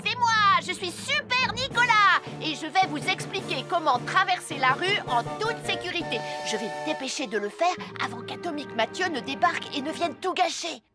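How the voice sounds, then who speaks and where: A woman voicing a cheeky, boastful young boy in a cartoon, showy and excitable. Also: extremely high pitch, normal speed, hardly any pauses. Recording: music underneath.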